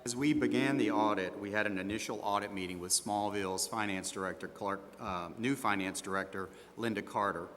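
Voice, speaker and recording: A man's voice: fairly low pitch, brisk, hardly any pauses, fairly bright tone, slight background noise, lightly acted. A man playing a defensive auditor at a hearing in an amateur stage dramatization, speaking formally and a little hesitantly. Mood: measured, defensive. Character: defensive male auditor questioned at disciplinary hearing. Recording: echoey hall, long reverb.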